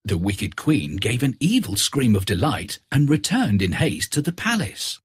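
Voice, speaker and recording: A man's voice: medium pitch, big pitch swings, unhurried, breathy edge, no echo, clean studio sound. A man narrating a scripted fairy tale for children.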